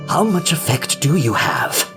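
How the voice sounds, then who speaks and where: A man's voice: a little high, animated, a little slow, over music. An actor playing a doubtful, questioning male member of a secret committee in an audio drama. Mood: skeptical, inquisitive.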